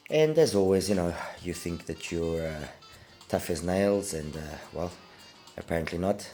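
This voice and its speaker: A man's voice: sits low, lively intonation, unhurried, mellow, faint music behind. A middle-aged man, congested with a cold, giving a casual, hesitant product review and repeating words for emphasis. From Portugal. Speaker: audio gear reviewer.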